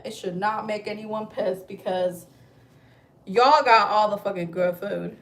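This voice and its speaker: A woman's voice: mid-range voice, low-quality recording. A woman in her thirties, from Chile, chatting casually on a livestream from home, rambling and swearing freely. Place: at home, indoors.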